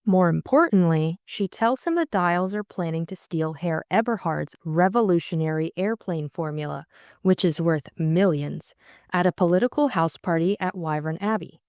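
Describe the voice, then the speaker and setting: Lower-pitched woman narrating, dry sound. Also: lively intonation, moderate pace, darkish tone, no room sound. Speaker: audiobook co-narrator. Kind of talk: scripted dramatic book narration.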